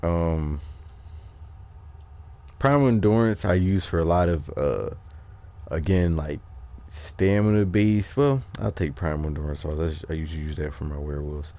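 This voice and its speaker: Guy with a really low mellow voice talking through a game build, kinda halting, thinking out loud. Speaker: gaming video host. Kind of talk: casual off-the-cuff game walkthrough commentary.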